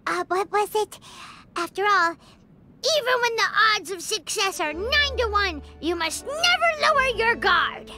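A woman voicing a tiny, excitable childlike fairy companion in a video game, squeaky and cheeky. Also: unhurried, full character voice. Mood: curious, eager. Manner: bubbly, refers to herself in third person. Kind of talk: video game character dialogue. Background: prominent background music.

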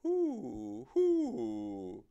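A fat old owl from a children's storybook, acted out by a man hoots loudly and emphatically. He is a scolding, bossy old bird. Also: medium pitch, huge pitch swings, drawn-out words, dull audio, full character voice. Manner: hooting, emphatic. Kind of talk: children's storybook character reading.

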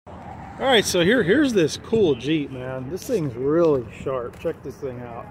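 A man's voice: fairly high pitch, expressive, measured pace. An American man giving an informal, enthusiastic walk-around commentary outdoors, using plenty of slang. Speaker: vlogger filming a CB radio meetup. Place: outdoors in a gravel parking lot. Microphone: handheld camera or phone mic. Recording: clear outdoor background noise.

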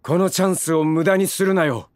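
A man's voice: on the high side, fairly even intonation, fairly quick, dry recording, clean studio sound, lightly acted. An actor playing a stern, commanding male stage director in an audio drama, uncompromising and demanding. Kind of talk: Japanese audio drama acting.

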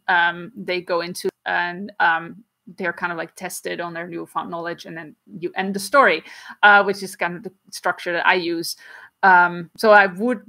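A woman in her thirties, not a native English speaker, casually answering viewer questions on a livestream from a small home room, talking through a webcam with many "um"s and quick laughs. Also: medium pitch, fairly bright tone. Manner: chatty, frequent "um"s and quick laughs, gestures while explaining. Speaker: comic artist and livestream host. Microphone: webcam at desk distance.

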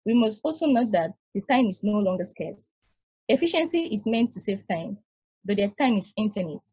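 A woman reading as a weary ancient space probe with a dark husky voice. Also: medium pitch, moderate pace, no room sound. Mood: reflective. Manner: calm, reasoning, explaining at length. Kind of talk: table read over video call. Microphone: video call.

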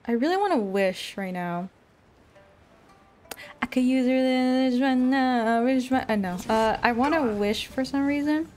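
A woman's voice: high-pitched, expressive, measured pace, darkish tone. A young adult woman giving casual playthrough commentary as a game streamer. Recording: faint game music behind the voice. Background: video game music.

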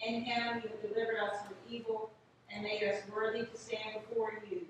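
A woman's voice: on the high side, level tone, distant mic, muffled recording. Woman priest praying in an echoey church. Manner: solemn, measured declaiming. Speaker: Episcopal priest. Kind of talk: liturgical prayer recitation. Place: inside a small church at the altar. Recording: echoey church from afar, noisy background.

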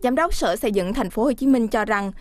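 A woman reading scripted news voice-over in a clear, steady way. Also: a little high, a little fast, no room sound.

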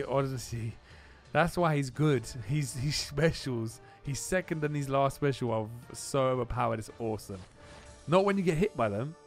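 Male gaming channel host, average pitch, slangy excited play-by-play that repeats itself, music underneath. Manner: exclaims and repeats phrases, informal slang. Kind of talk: excited gameplay commentary. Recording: music behind the voice.

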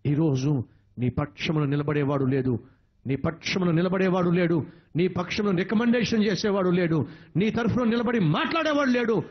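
A man preaching a sermon, emotional and repetitive, with dramatic swings in pitch. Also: moderate pace, slightly rough, muffled recording.

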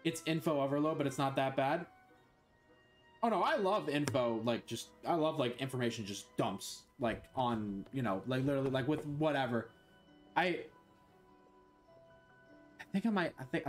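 A man's voice: mid-range voice, animated, moderate pace, long pauses, soft background music. Young guy streaming from his bedroom, rambly and sweary, voice bouncing all over. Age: young adult. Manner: scattered, rambling, swears a lot. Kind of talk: casual gameplay commentary. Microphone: headset.

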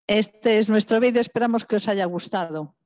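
A woman on a video call, speaking briskly and upbeat as she wraps up her team's video. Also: medium pitch, darkish tone, no room sound, studio quality. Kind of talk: casual video-call talk.

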